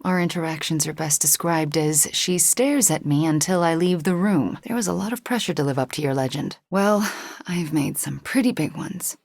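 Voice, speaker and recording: An actress voicing a young, guilt-ridden sorceress superhero in a video game, soft and breathy, earnest and measured with a wry edge. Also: female voice, low voice, lively intonation, no room sound, clean studio sound, heavily acted. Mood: wry, subdued. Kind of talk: acted video game dialogue.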